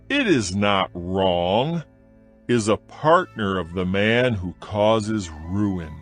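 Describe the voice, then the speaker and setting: Slow, solemn male scripture reader. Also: on the low side. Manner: slow, measured, solemn delivery. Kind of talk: dramatized Bible reading. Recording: soft music bed behind voice. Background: faint background music.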